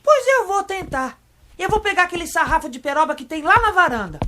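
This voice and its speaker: A woman's voice: extremely high pitch, very animated, a little fast, sharp tone, slight background noise, exaggerated voice. A woman playing a keen, plucky young grandson in a children's radio play. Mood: proud, eager. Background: music.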